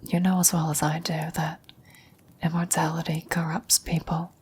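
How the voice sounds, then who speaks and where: A woman playing a dying former lover speaks in a breathy whisper, slow and solemn. Her delivery is low and very level, wistful and knowing, in the manner of an ASMR roleplay, with background noise around it. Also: flat delivery, darkish tone, close to an everyday voice. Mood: grave warning.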